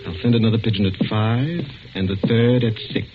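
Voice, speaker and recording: An actor playing a secretive, self-possessed spy in 1940s radio style, speaking in a soft coaxing manner. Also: male voice, fairly low pitch, a little fast, music in the background, lightly acted. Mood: coaxing, intent. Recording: noisy muffled 1940s radio recording.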